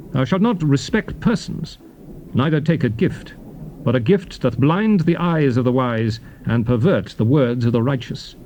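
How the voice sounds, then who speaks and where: Solemn male lawgiver for dramatized scripture, played by an actor: mid-pitched, very varied intonation, declamatory, faint noise on tape. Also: a little mellow, highs cut off, lightly acted. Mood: stern, commanding. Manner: declamatory, solemn, measured emphatic phrasing. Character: solemn male biblical lawgiver addressing his people. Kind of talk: dramatized scripture reading, formal theatrical delivery. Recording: faint noisy ambience, cassette tape recording.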